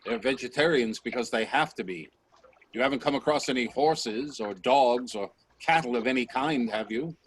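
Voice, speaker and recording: A man's voice: medium pitch, moderate pace, close to an everyday voice. Male actor as probing scientist, radio drama, assertive, professorial, faintly noisy call audio. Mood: probing, dissatisfied.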